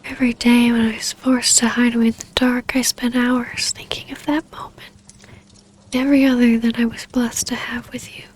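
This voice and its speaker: Vampire baroness female performer, ASMR romance roleplay: high, breathy, near-whispered, barely varying in pitch, yearning and courtly. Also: little pitch movement, normal speed, lightly acted, rough recording. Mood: tender, yearning. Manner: soft, breathy, often dropping to a whisper. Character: tender, yearning vampire baroness, long-lost lover. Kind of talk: intimate ASMR roleplay acting.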